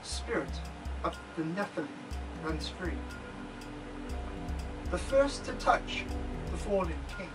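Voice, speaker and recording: A man playing an earnest scientist, a doctor who studies ancient writings, in a deliberate, declamatory audio drama style. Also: high-pitched, animated, far from the mic, over loud music, low-quality recording. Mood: solemn, foreboding. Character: earnest male scientist doctor, solemn scholar of ancient inscriptions.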